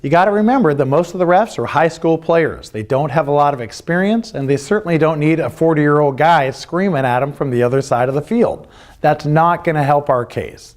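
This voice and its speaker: A man's voice: fairly high pitch, a little fast. A middle-aged American man giving an earnest, plain-spoken instructional presentation as a youth sports coach, stressing and repeating his key points. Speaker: youth lacrosse coach and club representative. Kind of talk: instructional presentation of club rules.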